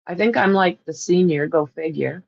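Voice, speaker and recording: A woman's voice: fairly low pitch, normal speed, creaky, no echo, muffled recording. A woman with a Canadian accent hosting a livestream, chatting casually with viewers in a rambling way and laughing often. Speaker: livestream host. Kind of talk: casual chat with live viewers.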